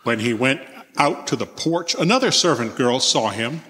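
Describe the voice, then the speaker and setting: An adult male voice narrates scripture as a church reader. The pitch is medium, and the sound carries a long reverberant tail. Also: animated, moderate pace, pushed and bright, a little hiss or hum. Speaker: church member reading as narrator.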